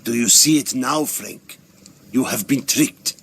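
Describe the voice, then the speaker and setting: An actor playing an earnest, defiant Turkish warrior in a video game, grave and insistent. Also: male voice, medium pitch, a little slow, a bit bright, a little hiss or hum. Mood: urgent persuasion. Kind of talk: video game cutscene acting. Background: faint music.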